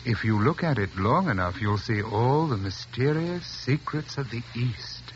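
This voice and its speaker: An actor playing a sly, menacing hypnotist uncle with formal, coaxing phrasing in 1940s radio drama style. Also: male voice, medium pitch, expressive, a little slow, close to an everyday voice. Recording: muffled, noisy 1940s radio recording.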